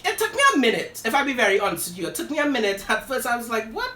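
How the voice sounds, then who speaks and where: A woman's voice: a little high, big pitch swings, racing, sharp tone. A woman, hosting a live show and telling a personal story with dramatic, sassy flair. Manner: dramatic, sassy asides, addresses listeners as "girl" and "darling". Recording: roomy echo, voice from a distance.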